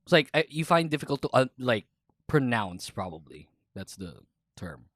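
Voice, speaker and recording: Young male podcast host, Filipino accent, laughs a lot, very expressive pitch. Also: moderate pace, dry recording, studio quality. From Philippines. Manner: animated, laughs often, mixes English with Tagalog. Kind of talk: casual chat.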